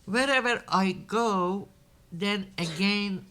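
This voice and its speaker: A woman's voice: medium pitch, takes it slowly, a bit bright, clear, resonant tone. An older woman with a Persian accent, an author speaking reflectively on a panel, often repeating words as she searches for them. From Iran. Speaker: guest author. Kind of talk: panel discussion.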